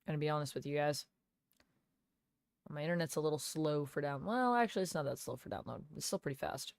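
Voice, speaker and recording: A woman streamer under the weather with a cold, talking casually with a gravelly, smoky voice as she chats with viewers and thanks them by name. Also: sits low, fast talker, dry recording, clean studio sound. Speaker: live streamer. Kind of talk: casual live-stream chat, reading viewer comments. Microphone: gaming headset.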